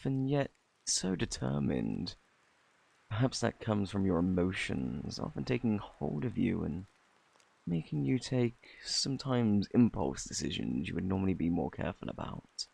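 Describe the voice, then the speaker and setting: A man with a British accent playing a flirty, eccentric fortune teller in a fantasy ASMR roleplay, with a theatrical, boastful, easily flustered manner and a husky, gravelly voice. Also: lowish voice, sing-song, measured pace, a little mellow, no echo, full character voice. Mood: mystical, assured. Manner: theatrical, flustered, coaxing, old-fashioned phrasing. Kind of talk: ASMR roleplay, intimate scripted acting.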